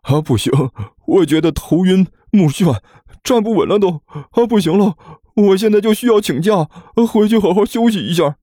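A man voicing an exasperated, hot-tempered young male student in an audiobook, stammering and whining in shrill outbursts. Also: expressive, normal speed, dark tone, no room sound, studio quality. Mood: whining distress. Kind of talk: audiobook character acting.

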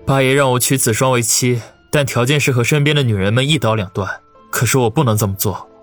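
An earnest young man with a husky voice, placating and sincere, as the narrator plays him. Faint music runs underneath. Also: mid-range voice, quick pace, pitched above the performer's own voice, close to an everyday voice.

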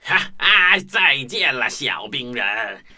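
Woman as a slow, gloating villainous toy jack-in-the-box. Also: rumbling low, sing-song, heavily acted. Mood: menacing, gloating. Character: rude, jealous, menacing male jack-in-the-box toy. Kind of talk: children's audio drama acting. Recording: loud music over the voice.

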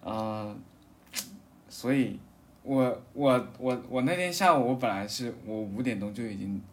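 Man, mid-pitched voice, casual podcast chat, halting repetitive phrasing, faint background noise. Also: expressive. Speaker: podcast co-host. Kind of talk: casual chat, personal storytelling.

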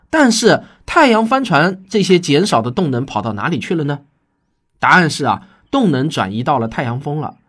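A man's voice: fairly high pitch, husky. A man hosting a science explainer podcast in a conversational but prepared way, teaching emphatically and putting rhetorical questions to his listeners. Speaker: host and science fiction author answering listener questions.

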